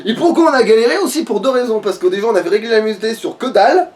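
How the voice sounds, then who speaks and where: High-pitched man chatting crudely, off the mic. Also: very fast, a little mellow, slight background noise. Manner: crude, sweary, mock-outraged ranting. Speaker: let's player. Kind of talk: casual gaming chat. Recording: voice distant from the mic.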